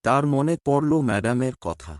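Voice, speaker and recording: A man's voice: medium pitch, expressive, normal speed, heavy background noise. A man narrating a scripted children's ghost story. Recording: loud music behind the voice.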